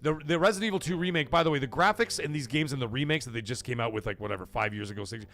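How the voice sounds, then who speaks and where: A man's voice: mid-range voice, very fast, a bit bright. A man hosting a gaming livestream, rambling casually and answering viewers from the chat by name. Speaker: livestream gaming show host.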